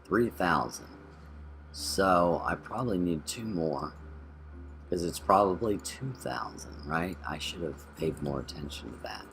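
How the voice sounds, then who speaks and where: Deep-voiced man thinking aloud over gameplay. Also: animated, a little slow, a bit bright, slight background noise. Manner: thinks aloud while playing, mid-sentence self-corrections. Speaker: gameplay channel co-host. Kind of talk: casual gameplay commentary.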